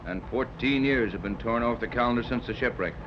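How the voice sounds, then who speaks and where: A man plays a brisk, doubting yacht captain in an old radio adventure. He talks fast in a medium-pitched male voice, wry and commanding. The 1930s recording is dull with some noise. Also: close to an everyday voice. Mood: skeptical. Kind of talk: 1930s radio serial acting. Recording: muffled, hissy 1930s radio transcription.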